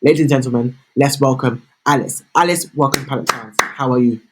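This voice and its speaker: A man's voice: medium pitch, a little fast, breathy edge. A man hosting a music show, reading prepared questions to his guest in an upbeat tone and praising her freely. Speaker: podcast host, interviewer. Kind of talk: scripted interview questions.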